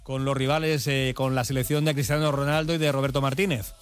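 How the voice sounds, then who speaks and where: A man hosts a sports radio programme. He speaks with an accent from Spain in a conversational manner. His voice sits at a middling pitch. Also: flat delivery, fast talker, clear, resonant tone, faint music behind.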